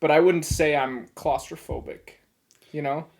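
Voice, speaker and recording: A man's voice: fairly high pitch, fairly even intonation, a little slow, a little mellow. A man co-hosting a podcast in casual, comedic chat, with a laid-back, jokey manner. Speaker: podcast co-host.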